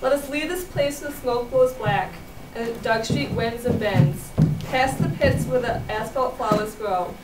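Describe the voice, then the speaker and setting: A woman's voice: on the high side, unhurried. A young woman reciting a poem in a readers theater performance. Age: young adult. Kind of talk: poetry recitation. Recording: distant mic, echoey room, loud noise.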